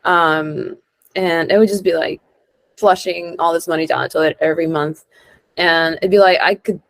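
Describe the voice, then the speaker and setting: A young adult woman from Honduras chatting casually as an interview guest, informal and expressive, peppering her stories with "like". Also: fairly low pitch, vocal fry. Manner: informal and expressive, peppered with "like", acts out quoted lines. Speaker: guest, real estate investor and entrepreneur.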